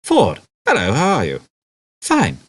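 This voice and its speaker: An eccentric alien man passing as human performed by a male audiobook narrator. He talks with urgent, distracted intensity, his husky voice lifted above a narrating register and moving animatedly. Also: medium pitch, huge pitch swings, unhurried, voice pushed up, heavily acted. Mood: brisk. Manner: urgent, insistent.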